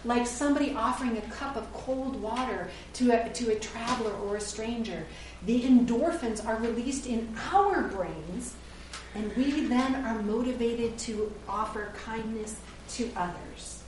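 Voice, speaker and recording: A woman's voice: on the high side, a little slow, darkish tone, a little hiss or hum. A middle-aged woman pastor preaching a sermon in a church, earnest and conversational, sometimes correcting herself mid-sentence. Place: church sanctuary. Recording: roomy echo, heard from a distance.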